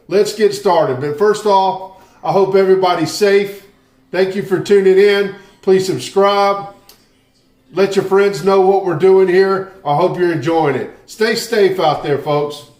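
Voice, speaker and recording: A man's voice: high voice, darkish tone, roomy sound. A man with a Southern American accent hosting a casual home barbecue tutorial, easygoing and folksy as he talks straight to viewers. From Southern United States. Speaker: barbecue channel host. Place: home kitchen, then outdoor grill area.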